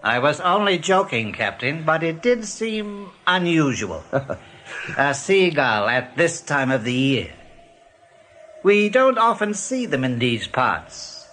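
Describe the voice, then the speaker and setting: An actor playing a sly rabbit general in a radio play, questioning with measured, menacing courtesy. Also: male voice, on the high side, very animated, fairly bright tone, slight background noise, exaggerated voice. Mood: falsely casual.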